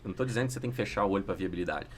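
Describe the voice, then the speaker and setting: Fast-talking Brazilian man, lower voice. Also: a little hiss or hum. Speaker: podcast guest, startup entrepreneur. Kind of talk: business podcast conversation, explanatory.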